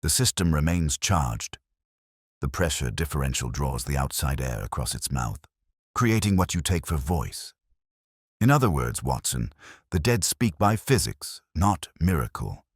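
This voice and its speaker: Man narrating as commanding male Victorian detective, keen, formal, precise, very deep, expressive intonation, audiobook. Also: unhurried, husky, dry recording, clean studio sound, full character voice. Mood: calm, certain. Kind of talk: audiobook character dialogue.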